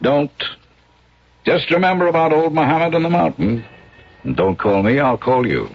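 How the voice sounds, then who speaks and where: An actor playing a brash, slangy, persuasive American showbiz agent in a radio drama, with a cocky hard-sell manner. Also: male voice, on the high side, expressive, normal speed. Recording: dull, faintly noisy radio broadcast recording.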